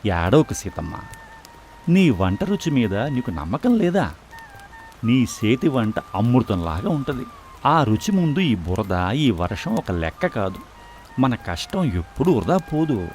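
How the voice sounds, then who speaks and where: A man's voice: mid-range voice, big pitch swings, a little slow, darkish tone, faint music behind. A man playing a poor but confident, philosophical food stall owner in a dramatized moral story, earnest and reassuring. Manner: reassuring, earnest, proverb-laden.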